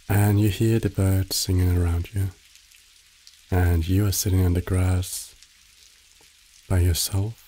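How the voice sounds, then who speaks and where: A man narrating a guided meditation in a soothing, measured way, giving short instructions with long pauses. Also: deep voice, dark tone, slightly rough, rough recording.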